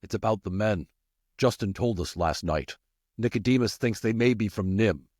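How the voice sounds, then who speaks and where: A man's voice: fairly low pitch, normal speed, darkish tone, no echo, clean studio sound, close to an everyday voice. A man voicing a direct, businesslike rat messenger in a storybook reading. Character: plainspoken male rat messenger on guard duty.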